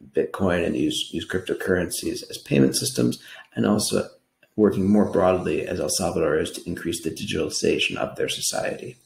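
An American man from New York giving an earnest, explanatory slide presentation pitch in an echoing room. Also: gravelly. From New York, United States. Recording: roomy, echoing voice recording.

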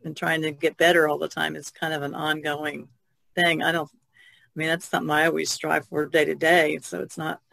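A woman's voice: low voice, level tone, moderate pace, vocal fry, fairly bright tone, dry recording. A woman artist chatting informally on a podcast, tentative in how she puts things. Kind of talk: casual podcast chat.

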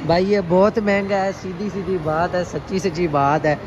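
A man's voice: high-pitched, normal speed, highs cut off. A man chats casually and playfully to viewers in slangy, repeated phrases. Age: 20s. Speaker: travel vlogger. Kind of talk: casual vlog chat to viewers. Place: car, parking garage and busy indoor mall. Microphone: handheld phone at arm's length. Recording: noisy handheld recording with loud ambient noise.

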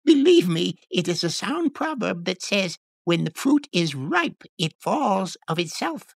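A man plays a gossipy storybook townsperson with a very high, pinched-up pitch. The talk is sly, teasing banter from a street crowd, recorded dry and close. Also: very animated, a little slow, gravelly, no echo, full character voice. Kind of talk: audiobook character voice.

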